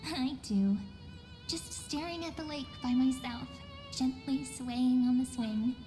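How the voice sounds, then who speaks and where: A woman's voice: high voice, slow. A woman voicing a playful, dreamy young woman in a video game, speaking in a teasing, lilting, poetic way. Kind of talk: video game character acting. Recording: long hall reverb under clear music.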